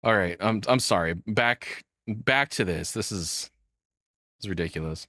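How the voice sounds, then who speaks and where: A man's voice: on the low side, a little slow, pushed and bright, no room sound. A man giving casual live reaction commentary as a streamer, rambling and swearing often, close to the microphone.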